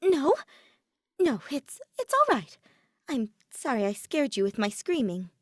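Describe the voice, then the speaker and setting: A woman's voice: high-pitched, sing-song, measured pace, dry recording, clean studio sound, pitched above the performer's own voice, full character voice. A woman voicing a timid, easily frightened young woman mage who stammers nervously.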